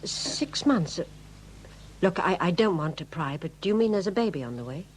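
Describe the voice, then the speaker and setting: Detective's wife, played by an actress, polite, inquisitive, animated intonation, slightly noisy vintage radio drama recording. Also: female voice, on the low side, moderate pace, natural voice. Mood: concerned, probing. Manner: polite, expressive. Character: kindly, inquisitive policeman's wife, polite and gently persistent. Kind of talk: 1970s radio drama acting.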